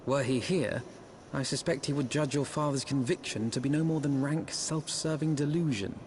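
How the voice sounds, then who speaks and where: An actor playing a grave, skeptical and scornful man in a fantasy game, speaking in formal, measured, eloquent phrases. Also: medium pitch, darkish tone, slight background noise, lightly acted. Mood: grave, pensive. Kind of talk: scripted fantasy game dialogue. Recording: music behind the voice.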